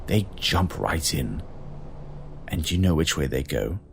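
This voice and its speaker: Very deep male voice, acted, solemn military bearer of bad news, gravelly, consoling, audio drama. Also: animated, slow, slight background noise, exaggerated voice. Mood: quietly encouraging.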